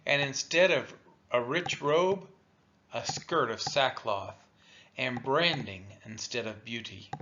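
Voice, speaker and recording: Slow-paced man reading scripture, noisy lo-fi recording. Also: mid-range voice. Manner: measured, deliberate phrasing with pauses. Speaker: scripture reader for a church read-along. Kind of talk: solemn scripted Bible reading. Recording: dull lo-fi audio, clear background noise.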